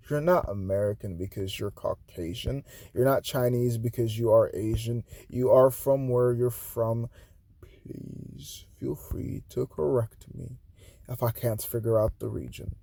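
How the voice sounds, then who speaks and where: A man reading his own spoken-word poetry at an unhurried pace, in a gravelly voice with a lively, wide-ranging pitch. He swings between loud declarations and hushed, breathy asides. The sound is close and dry. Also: no echo. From Haiti.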